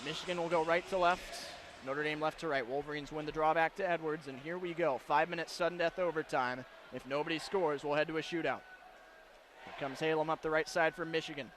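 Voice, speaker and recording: High-pitched man calling live hockey. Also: fairly even intonation, brisk. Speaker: radio play-by-play announcer. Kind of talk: live ice hockey commentary. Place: broadcast position in an indoor hockey arena. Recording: live broadcast with clear arena noise.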